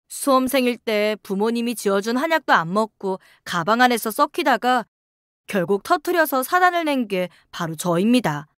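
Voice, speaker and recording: Female story narrator, slightly high voice, dry close sound, scripted first-person reading. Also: dry recording.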